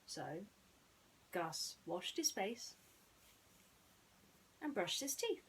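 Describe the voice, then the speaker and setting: A woman hosting and narrating bedtime stories in a gentle storytelling style. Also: mid-range voice, big pitch swings, extremely slow, slight background noise.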